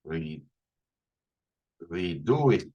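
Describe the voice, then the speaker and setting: A man's voice: sits low, big pitch swings, extremely slow, highs cut off. A man in his forties with an Estonian accent, teaching a live online coding session and thinking aloud, often restarting and repeating words. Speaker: instructor. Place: small room at home, indoors.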